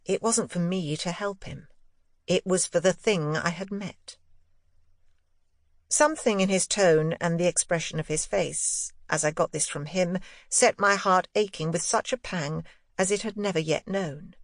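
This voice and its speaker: A woman narrating a classic first-person ghost story in a measured, expressive literary style. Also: fairly low pitch, no room sound, clean studio sound. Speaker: audiobook narrator.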